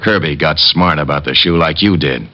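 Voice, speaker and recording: An actor playing a menacing American hired killer in an old radio crime drama, with tough, taunting street talk and a gravelly voice. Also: male voice, a bass voice, normal speed. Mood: cold, taunting. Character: menacing male hired thug, coolly sadistic killer. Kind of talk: 1940s-50s radio crime drama acting. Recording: muffled old-time radio recording.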